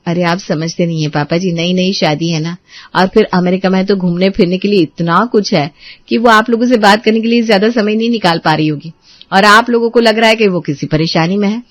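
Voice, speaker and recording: A woman's voice: mid-range voice, fairly quick, dry recording, close to an everyday voice. A woman playing a polite young married daughter-in-law in a Hindi family drama, earnest and deferential, on a slightly muffled track. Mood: reassuring.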